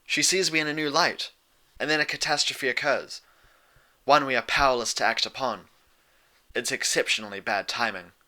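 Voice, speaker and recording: A man plays a royal pony ruler in a storybook story, a patient elder sister. Her voice is very bright and lifted a little in pitch. She speaks in measured, formal sentences and keeps herself guarded. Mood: weary, composed. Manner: measured, formal, reflective phrasing. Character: composed, regal elder pony sun princess, patient and guarded.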